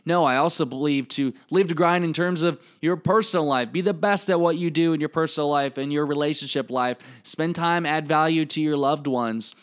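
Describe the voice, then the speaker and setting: A man's voice: fairly high pitch, brisk, hardly any pauses, fairly bright tone, smooth. A man with an Iowa Midwestern accent speaks informally and emphatically, as a podcast host giving a motivational solo monologue. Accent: Iowa Midwestern American. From Iowa, United States.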